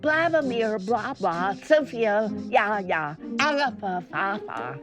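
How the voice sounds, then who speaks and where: A woman's voice: medium pitch, expressive, takes it slowly, over music, pitched above the performer's own voice. A woman voicing a fearless, playful toddler girl in a storybook. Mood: sing-song.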